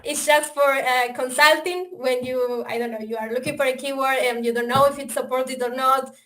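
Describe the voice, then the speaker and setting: A woman with an Argentinian accent presents a technical webinar in English over a video call. Her voice sits fairly high. She talks hesitantly, filling gaps with "uh" and restarting her phrases. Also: fairly even intonation, moderate pace, bright, forceful tone. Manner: hesitant, many fillers and restarts. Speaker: software developer presenting.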